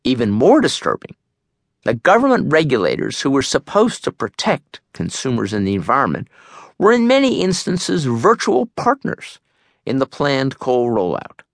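Man narrating audiobook, lively intonation, dry studio. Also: mid-range voice, normal speed, creaky, no room sound. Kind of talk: scripted nonfiction memoir narration.

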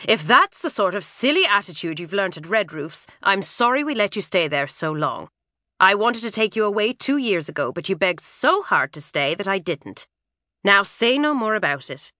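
Stern strict dad, read by a woman, lecturing tone, lively delivery. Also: on the low side, normal speed, no echo, studio quality. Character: stern pipe-smoking middle-aged father.

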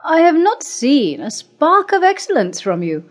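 A woman's voice: high voice, very animated, a little slow, pitched above the performer's own voice. A woman voicing a cold, domineering female assessor who speaks in a clipped, commanding way.

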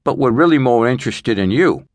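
A man's voice: mid-range voice, lively intonation, brisk, no echo, pitched above the performer's own voice, lightly acted. A man voicing a big, brash Italian loan shark with a Brooklyn accent, bossy and streetwise. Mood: brash, hearty.

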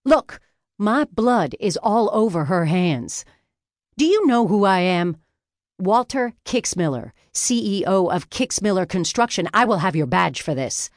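A woman's voice: mid-range voice, big pitch swings, unhurried, dry recording, clean studio sound, pitched above the performer's own voice. Woman voicing a pompous, whiny, indignant wounded businessman. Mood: outraged accusing. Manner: groaning, sputtering, indignant.

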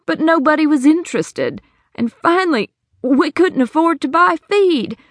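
Woman voicing a distraught, high-pitched swindled wife. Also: lively intonation, normal speed, no room sound, clean studio sound. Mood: worried. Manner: high, trembling and pleading. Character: distraught middle-aged woman cheated of her savings.

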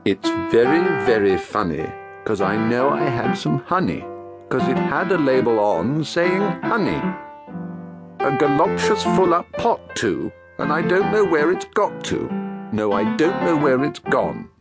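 A man voicing a slow, amiable, greedy bear in a dramatised children's story, musing and hesitant in a husky voice. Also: mid-range voice, sing-song, over loud music. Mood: puzzled, plaintive. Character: Pooh, slow, dim, greedy, amiable honey-loving bear.

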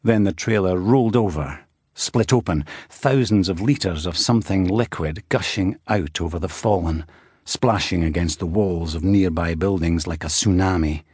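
Deep husky male audiobook narrator. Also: moderate pace, darkish tone, dry recording, studio quality. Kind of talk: scripted thriller narration.

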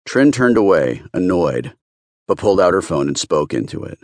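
A man's voice: sits low, sing-song, normal speed, dry recording, studio quality. A man narrating first-person fiction as a scripted audiobook reader.

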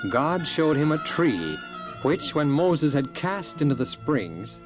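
A man's voice: on the high side, big pitch swings, takes it slowly, music in the background. A man storyteller giving a dramatic scripted narration of a Bible story.

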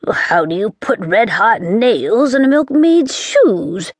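A woman voicing a crabby old witch who croaks and sneers, speaking slowly. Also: a little high, very animated, dry recording, voice pushed up, exaggerated voice. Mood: sneering. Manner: sneering, slow and menacing.

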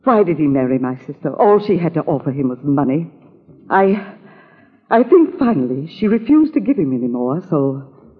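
Husky, suspicious spinster in old radio drama. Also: female voice, deep voice, sing-song, exaggerated voice. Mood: bitter, suspicious. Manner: dramatic, halting delivery. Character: suspicious, bitter spinster convinced her sister was murdered. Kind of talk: 1940s radio drama acting. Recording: muffled, reverberant old radio recording.

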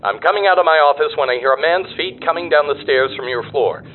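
An actor playing an easygoing, slangy male private detective in a radio drama, telling things in an animated way through a telephone filter. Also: a little high, quick pace. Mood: jaunty reporting. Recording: thin phone line, faint noise.

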